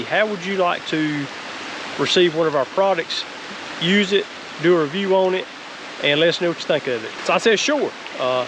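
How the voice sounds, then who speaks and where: A man in his forties with a Southern US accent, giving a casual, rambling, folksy product review as a homestead vlogger. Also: high voice. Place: outdoors, wooded creek, then cabin deck. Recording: clear steady background hiss outdoors.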